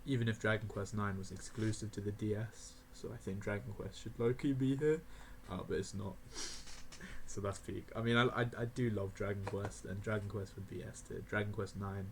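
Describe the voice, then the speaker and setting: An eighteen-year-old man rambling casually through a game tier list, laughing often and filling pauses with ums and uhs. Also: on the low side, steady tone, slight background noise. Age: young adult (18). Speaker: gaming video creator.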